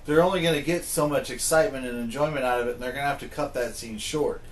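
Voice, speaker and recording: A man's voice: mid-range voice, quick pace. A man in a casual panel discussion, talking informally and arguing through examples. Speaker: podcast co-host. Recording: faint background noise.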